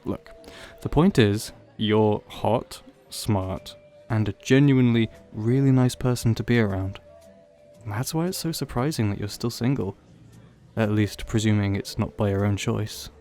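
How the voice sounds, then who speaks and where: A man's voice: lowish voice, measured pace, mellow, soft background music. A man playing an awkward, wistful young old friend in an audio roleplay, talking hesitantly and rambling in a self-deprecating way. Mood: flustered, admiring. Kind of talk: audio roleplay, conversational acting addressed to listener.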